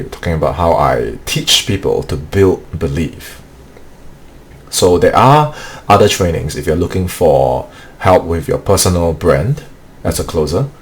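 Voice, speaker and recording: A low-voiced man leads a motivational live-stream training for remote sales closers. He talks earnestly and repeats himself, dropping "okay" between points. A faint hum sits under the voice. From Singapore. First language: not English. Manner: earnest, repetitive, peppers speech with "okay".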